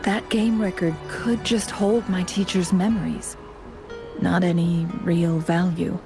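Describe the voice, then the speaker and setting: A woman voicing a composed, courteous young strategist in a fantasy game, speaking in measured, formal phrases. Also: lowish voice, level tone, over music. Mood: composed, thoughtful. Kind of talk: scripted video game dialogue.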